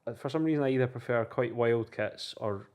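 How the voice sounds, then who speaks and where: A man's voice: mid-range voice, a little fast, darkish tone. A man co-hosting a podcast, talking casually and rambling with many fillers. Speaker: podcast co-host.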